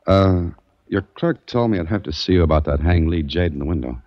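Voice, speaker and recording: A man playing a wry, deep-voiced adventurer. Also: brisk, dry recording, close to an everyday voice. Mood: curious, wry. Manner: wry, casual and probing. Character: male adventurer hero, curious and wry. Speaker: narrator and lead. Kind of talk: 1940s radio acting. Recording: dull dry old radio recording.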